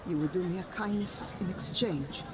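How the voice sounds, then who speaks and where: A weary, guarded washerwoman voiced by a woman speaks as a protective mother in an audio adventure game. Loud music and outdoor town ambience run behind her. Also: fairly low pitch, moderate pace, close to an everyday voice. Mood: guarded, pleading.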